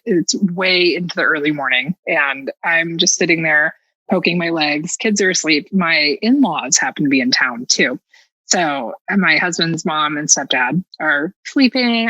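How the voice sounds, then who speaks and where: A woman telling a personal story as a podcast guest in an animated, casual, self-mockingly dramatic way. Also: lowish voice, no room sound, muffled recording.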